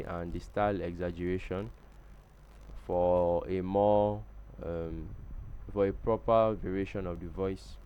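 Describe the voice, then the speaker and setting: A man hosting a screen-recorded software tutorial, giving plain step-by-step instructions with some hesitant ums. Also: low-pitched, slight background noise. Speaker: tutorial host.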